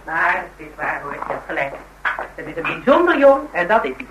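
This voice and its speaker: An actress playing an inquisitive, brisk and caring friend in a radio drama, chatty and probing. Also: female voice, low-pitched, very animated, background noise. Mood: chatty, animated. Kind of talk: Dutch radio drama acting, naturalistic dialogue.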